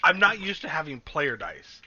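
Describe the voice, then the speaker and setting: A man chatting excitedly and casually as a player in an online tabletop game, slangy and swearing freely. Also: on the high side, normal speed, dull audio.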